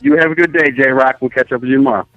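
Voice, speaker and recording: A man's voice: mid-range voice, steady tone, fast, music in the background. An American man calling in to a sports talk show, chatting casually over a lo-fi line. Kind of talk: casual phone-in chat. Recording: dull lo-fi radio call-in line.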